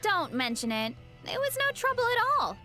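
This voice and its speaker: A woman's voice: very high-pitched, big pitch swings, moderate pace. An actress playing a cheerful, laid-back young woman warrior in a video game, with an easygoing, breezy manner and sudden earnest bursts. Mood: chatty. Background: game music.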